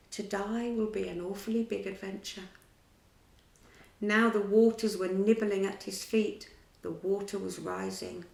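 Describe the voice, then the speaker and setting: A woman narrates a classic children's story at an unhurried, expressive pace. Her voice carries a gravelly grain. The recording rings with room echo. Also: medium pitch. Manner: slow, expressive reading. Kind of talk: storybook read-aloud.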